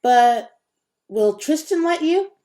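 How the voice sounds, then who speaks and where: A woman's voice: a little high, lively intonation, takes it slowly, mellow, voice pushed up. A woman voicing an anxious, earnest twelve-year-old boy in a children's storybook reading. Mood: nervous.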